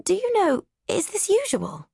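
A woman's voice: extremely high pitch, wildly swooping pitch, a little slow, vocal fry, dark tone, dry recording, clean studio sound, voice pushed up, full character voice. A woman voicing an earnest, slightly flustered young woman setting out on a journey. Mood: polite.

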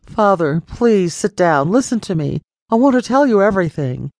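A woman's voice: medium pitch, big pitch swings, normal speed, darkish tone, no room sound, pitched above the performer's own voice. A woman voicing a young daughter who speaks earnestly and firmly about her faith. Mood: resolute. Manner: earnest and resolute.

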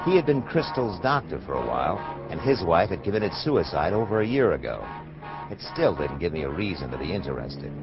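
A very low-pitched American private detective, wry and cynical in a hardboiled radio drama. His delivery moves freely up and down as he narrates and wisecracks. The recording is dull, with music prominent behind him. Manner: sardonic, wisecracking.

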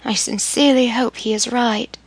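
A woman voicing Emily, an anxious, outspoken young woman, in a soft, breathless, higher voice while reading a story. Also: fairly even intonation, normal speed, slightly rough. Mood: earnest.

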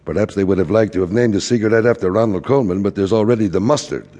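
A man's voice: fairly low pitch, quick pace, dark tone, slight background noise, natural voice. An actor playing a wistful, middle-aged immigrant father who philosophizes and repeats himself in an audio drama. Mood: wheedling, musing. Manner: rhetorical, repetitive, philosophizing. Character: wistful, rambling immigrant father, a frustrated commercial traveler. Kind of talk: theatrical audio drama acting. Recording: reverberant hall stage recording.

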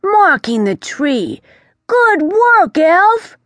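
A wisecracking male bat from a storybook, talking in a brisk, slangy patter, as a woman narrator puts him on. His voice sits well above her own and comes out loud and lively. The recording is dry and close. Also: mid-range voice, no echo, voice pushed up.

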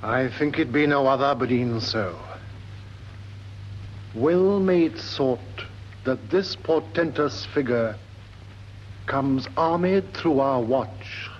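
An actor playing a sober, thoughtful sentinel with deliberate verse delivery in a classical Shakespearean radio drama. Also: male voice, medium pitch. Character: sober, thoughtful sentinel soldier on night watch. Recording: dull, faintly noisy vintage recording.

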